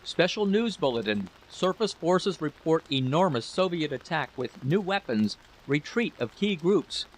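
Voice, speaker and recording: A man's voice: fairly high pitch, very animated, measured pace, hardly any pauses, voice pushed up. A man voicing a public newscaster in a story reading, announcing urgent war bulletins in clipped phrases on a noisy recording.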